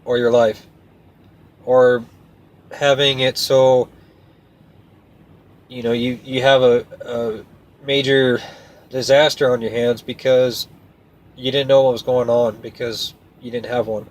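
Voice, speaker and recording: A man's voice: medium pitch, takes it slowly, pauses often, poor audio. A man talking casually to viewers as a trucker vlogger, in a plainspoken, folksy, hesitant way. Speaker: trucker and video host. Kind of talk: casual rambling vlog talk to viewers. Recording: clear steady background noise.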